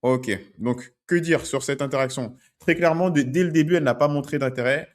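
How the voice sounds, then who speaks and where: Man coaching, conversational, mid-pitched. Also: a little fast. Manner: conversational, checks in with "ok?". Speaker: dating coach. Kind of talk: commenting on street-approach video clips.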